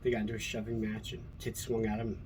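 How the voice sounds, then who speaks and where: American man, middle-aged, lower register. Earnest, blunt, off-the-cuff solo talk with profanity; clearly noisy recording. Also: monotone, quick pace. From Colorado, USA. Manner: earnest, blunt, swearing freely. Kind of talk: casual rambling monologue. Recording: noticeable background noise.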